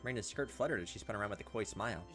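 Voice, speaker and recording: Male gaming host, brisk delivery, reads game text with wry casual asides, music underneath. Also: on the low side. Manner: jokey asides and reactions. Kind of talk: casual let's play, reading visual novel text aloud with offhand commentary. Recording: music behind the voice. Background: visual novel game music.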